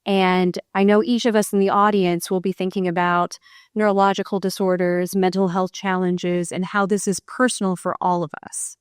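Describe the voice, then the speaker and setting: A woman's voice: on the low side, level tone, normal speed, clean tone, no room sound, clean studio sound. A woman moderating a panel, guiding the speakers and inviting each to share.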